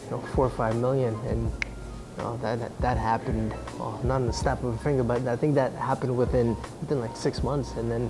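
A man's voice: mid-range voice, little pitch movement, a little fast. A man in his forties answering business questions in a casual, blunt way, often restarting his sentences, recorded on a camera indoors. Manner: blunt, conversational, frequent restarts. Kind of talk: casual Q&A chat. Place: indoor atrium of a mall or coffee shop. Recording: music under the voice. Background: background music.

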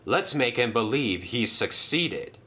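A man's voice: mid-range voice, sing-song, takes it slowly, bright, forceful tone, full character voice. A man voicing a fussy male railway boss who speaks properly and exclaims. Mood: scheming.

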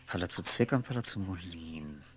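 A man's voice: low-pitched, animated, fairly quick. An actor playing a lonely, brooding man who muses and repeats himself absently in a 1960s radio play. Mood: musing. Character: lonely brooding man lost in his memories. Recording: faintly humming, noisy 1960s radio recording.

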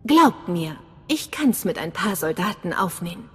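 Eine Schauspielerin als kühle, selbstbewusste Geheimagentin in einem Videospiel, knapp und selbstsicher im Ton. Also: female voice, fairly low pitch, sing-song, a little slow, husky, exaggerated voice. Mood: confident. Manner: self-assured, clipped. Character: cool, confident female undercover imperial agent. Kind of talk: dramatic video game dialogue in German. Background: background music.

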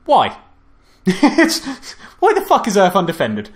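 A man's voice: sits high, wildly swooping pitch, takes it slowly. A man co-hosting a film review podcast, chatting casually, rambling and swearing with frequent laughs. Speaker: podcast co-host.

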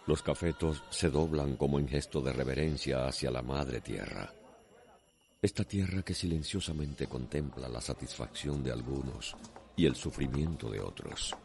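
Very deep-voiced male radio soap narrator. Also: creaky, a little mellow. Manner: solemn, deliberate. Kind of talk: dramatic, poetic scripted radio soap narration.

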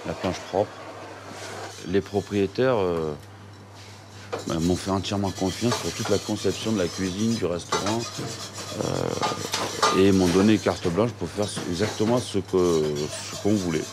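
Deep-voiced chef guy talking while he works, kitchen clatter behind. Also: fairly even intonation, a little slow, background noise. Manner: direct, instructive, hands-on. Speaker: head chef. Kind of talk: casual explanation at work. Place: hotel kitchen.

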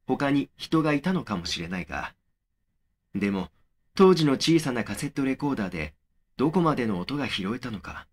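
A man playing a young man who narrates his eerie experience in a horror audio drama, measured and serious. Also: mid-range voice. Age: young adult. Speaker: first-person narrator of a horror story.

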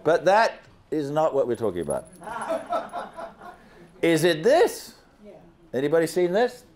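An older man from Zambia giving an animated slide presentation to a seated audience in a large banquet hall, speaking into a handheld microphone and asking the listeners questions. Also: fairly high pitch, a little slow, crowd noise. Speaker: guest speaker.

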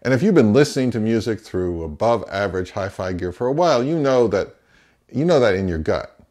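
American man with very lively pitch swings. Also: brisk. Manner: emphatic, opinionated, rhetorical questions answered himself. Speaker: audio reviewer and host. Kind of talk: semi-scripted product review to camera. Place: small indoor home office.

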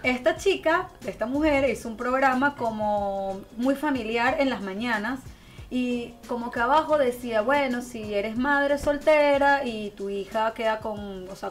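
Expressive Venezuelan woman, higher-pitched. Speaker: guest singer and songwriter. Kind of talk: casual radio interview storytelling. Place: radio studio. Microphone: close studio microphone with headphones.

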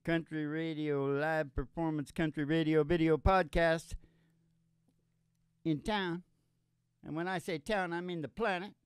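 A man's voice: a little high, steady tone, measured pace, long pauses, smooth, dry recording, clean studio sound. A man in his sixties hosting with playful, theatrical, rambling folksy comic radio patter into a stage microphone. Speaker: host and country singer. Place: small curtained stage, indoors. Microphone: stand microphone. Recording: live stage, acoustic guitar behind. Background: acoustic guitar.

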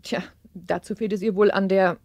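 A woman's voice: medium pitch, fairly even intonation, moderate pace, a little mellow, no echo, lightly acted. Actress as a firm mother, dry close sound. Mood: firm, pointed. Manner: wry, pointed politeness. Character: polite but firm mother defending her daughter. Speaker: actress in an audio play. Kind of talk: German radio play acting.

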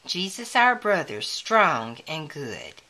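A woman reading a children's picture book aloud in a deliberate manner, as if counting along with young listeners. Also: sits low, very animated, bright, forceful tone.

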